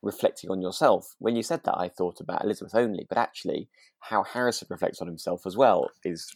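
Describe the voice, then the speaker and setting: A man's voice: sits low, fast talker, gravelly, slightly rough, dry recording. A British man chatting as a podcast co-host, hesitant and often restarting his sentences. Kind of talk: relaxed chat discussing a radio drama.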